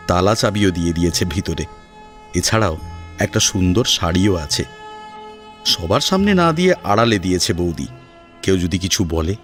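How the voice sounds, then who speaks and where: A man giving expressive scripted narration of a story as its narrator. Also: on the low side.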